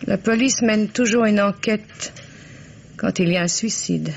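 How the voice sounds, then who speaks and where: A woman's voice: mid-range voice, a little slow, vocal fry. An actress playing a stunned, bereaved middle-aged mother with cold, controlled formality in a 1950s-style French radio drama. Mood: cold, accusing. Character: bereaved mother, stunned, coldly composed and accusing. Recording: dull lo-fi old radio, noisy.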